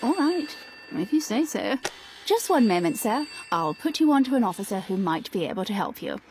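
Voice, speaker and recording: A woman's voice: medium pitch, very animated, moderate pace, darkish tone, a little hiss or hum. An actress playing a polite, efficient female switchboard operator in a radio drama. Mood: polite, businesslike.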